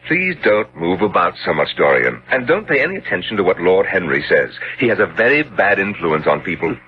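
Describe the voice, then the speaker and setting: A protective, earnest Victorian artist in radio drama. His voice is medium in pitch and swings dramatically. The old recording is muffled with faint noise. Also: big pitch swings, fairly quick. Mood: fussy. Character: earnest Victorian portrait painter, protective and excitable. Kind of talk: 1970s radio drama acting. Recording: dull, noisy 1970s radio recording.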